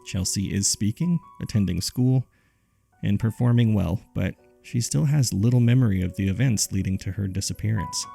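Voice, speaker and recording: A man with a gravelly, husky voice reading scripted horror case files in a measured, subdued way, as narrator and host. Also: fairly low pitch, dark tone, faint music behind.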